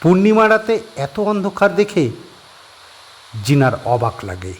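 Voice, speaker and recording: A man's voice: high-pitched, measured pace. Man narrating a horror story. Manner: steady, descriptive storytelling.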